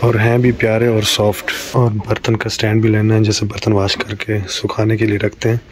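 A man's voice: lowish voice, completely flat delivery, brisk. A man in his forties narrating a family vlog to a handheld phone camera, chatting his way through every step of the day. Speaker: family vlogger. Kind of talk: casual running vlog commentary. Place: in a car, at home and in a supermarket. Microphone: phone camera held at arm's length. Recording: noisy handheld phone audio.

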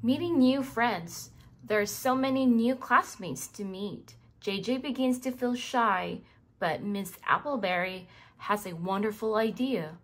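A woman reading a children's book aloud in an expressive way, speaking directly to young listeners. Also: a little high, a little slow. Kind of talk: scripted read-aloud narration for young children.

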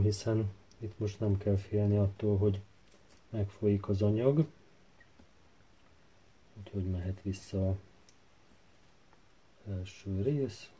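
Guy doing a casual diy tutorial, lowish voice, hesitant thinking out loud, bit of background noise and clicks. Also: low-quality recording. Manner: hesitant, halting, thinking aloud while working. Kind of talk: casual hands-on tutorial narration. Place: indoor workbench.

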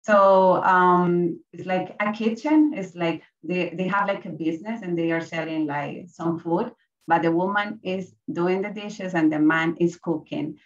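A woman's voice: lowish voice, unhurried. A young adult woman, giving a conference presentation as a teacher, hesitant, with many fillers and restarts. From Colombia. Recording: roomy, distant, slightly dull sound.

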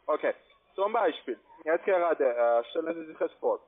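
High-pitched foreign-accented man on the phone. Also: lively intonation. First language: not German. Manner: pushy, repetitive, halting non-native phrasing. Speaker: call centre investment salesman. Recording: tinny band-limited phone line.

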